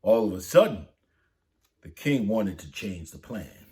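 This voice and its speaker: A man's voice: lowish voice, unhurried. A man reading a saint's story aloud for children, lifting his voice for the quoted lines. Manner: lively, lifts voice for dialogue. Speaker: storybook reader.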